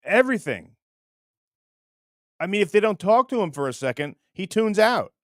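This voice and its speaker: Animated, self-deprecating man chatting on a livestream. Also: sits high, moderate pace, no echo, clean studio sound. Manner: rambling, self-deprecating, breaks into laughter. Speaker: livestream host. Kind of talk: casual chat reading viewer comments.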